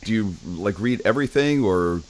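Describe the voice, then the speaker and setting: A man hosting a podcast, chipping in with jokes and quick reactions. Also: on the low side, animated, a little hiss or hum. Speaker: podcast host. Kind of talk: casual interview chat.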